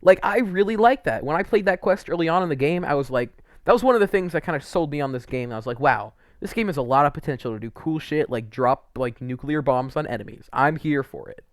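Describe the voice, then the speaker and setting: A man's voice: a little high, expressive, quick pace, few pauses, dry recording, studio quality. A young man giving casual, unscripted video game commentary in an informal, enthusiastic way, with some mild swearing.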